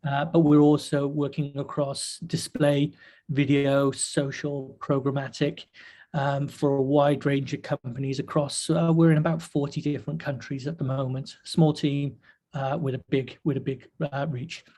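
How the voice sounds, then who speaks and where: A hoarse man hosting a webinar over a video call, halting with many fillers. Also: medium pitch, flat delivery, dark tone. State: hoarse, nearly losing his voice. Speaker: webinar host. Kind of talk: casual webinar talk. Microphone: webcam video call.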